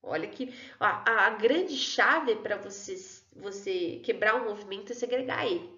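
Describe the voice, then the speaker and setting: A woman's voice: medium pitch, normal speed, bright, forceful tone. A woman teaching an online history class in a chatty way, often checking in with her students. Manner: chatty, keeps checking in with "tudo bem?" and "né, pessoal?". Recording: echoey room, dull lo-fi sound.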